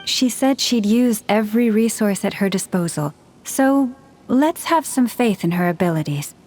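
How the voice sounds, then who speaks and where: A woman voicing a calm young adventurer who speaks in a level, reassuring way, in a video game cutscene. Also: mid-range voice, moderate pace, close to an everyday voice.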